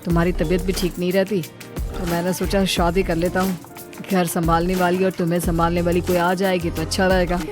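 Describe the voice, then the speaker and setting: A woman's voice: lowish voice, flat delivery, brisk, voice pushed down, natural voice. A woman voicing a dutiful thirty-year-old son in a cartoon, reasoning and mildly dismissive. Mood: earnest. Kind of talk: cartoon moral-story dialogue. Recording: music behind the voice. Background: music.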